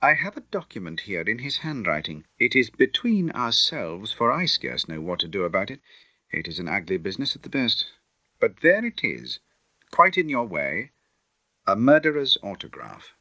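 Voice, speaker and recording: Man playing a sober, formal gentleman lawyer, husky. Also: fairly low pitch, very animated, dry recording, exaggerated voice. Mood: grave, confiding. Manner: formal, measured. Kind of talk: audiobook character acting.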